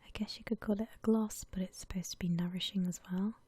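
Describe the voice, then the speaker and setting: A woman whispering softly and breathily in a gentle, unhurried ASMR voice-over, chatting casually. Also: mid-range voice, steady tone, slightly rough, dry recording. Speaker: ASMR video creator.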